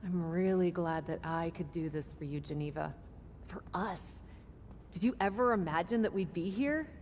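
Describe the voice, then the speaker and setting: A woman's voice: lowish voice, normal speed, darkish tone, slight background noise, natural voice. A woman playing a confident, determined indie pro wrestler with a wry, self-assured manner in video game cutscene dialogue. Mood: sincere, excited.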